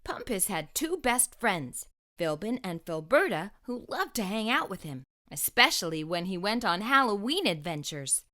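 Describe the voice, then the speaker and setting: Female narrator for a kids' picture book: bright voice with very lively, expressive intonation. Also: mid-range voice, moderate pace, hardly any pauses. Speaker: children's story reader. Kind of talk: expressive read-aloud storybook narration.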